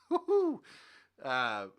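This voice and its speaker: A young man hosting a podcast with casual, animated, rambling banter about movies and games. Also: medium pitch, drawn-out words. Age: young adult. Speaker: podcast host.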